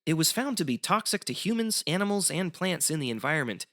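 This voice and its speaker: A man with a bright, lively voice that dips into a creaky edge. He explains history as a show guest, articulate and wry, in the manner of scripted educational banter. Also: a little high, fast talker.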